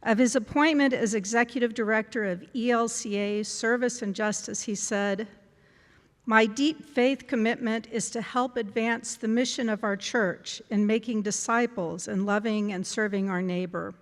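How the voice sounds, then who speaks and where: A woman's voice: on the high side, fairly even intonation, normal speed. A woman hosting the event, reading a formal, welcoming introduction of the guest speaker. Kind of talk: read introduction. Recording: echoey hall.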